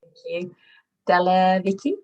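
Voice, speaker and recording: A woman moderating an online webinar, polite and brisk as she calls on each participant. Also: lowish voice, dark tone, dull audio. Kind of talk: hosting introductions. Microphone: video call.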